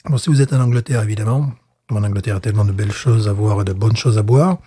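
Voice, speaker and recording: A man's voice: fairly low pitch, racing, mellow, breathy edge. A man co-hosting a podcast, tasting a beer in casual chat, hesitant with many fillers and restarts. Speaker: podcast co-host.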